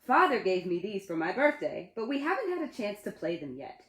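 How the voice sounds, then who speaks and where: A woman's voice: medium pitch, very animated, fairly quick, a bit bright. A woman voicing an earnest, inquisitive little boy from a children's storybook, wide-eyed and questioning. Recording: roomy, echoey sound.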